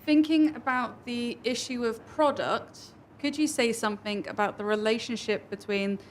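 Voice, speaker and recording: A woman's voice: a little high, moderate pace. A woman reading out audience questions at a talk, recorded with some room noise around her. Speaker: event assistant relaying online questions. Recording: noisy room ambience.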